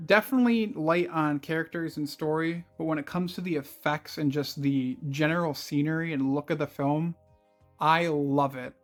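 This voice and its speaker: A man with a scratchy, worn-out voice talks casually, as if chatting with his viewers. His tone is loose and friendly, with plenty of fillers along the way. Faint music plays softly behind him. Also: fairly high pitch. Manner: relaxed and chatty, with filler words and light laughs. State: scratchy voice from concert screaming. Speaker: channel host. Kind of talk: casual video review chat.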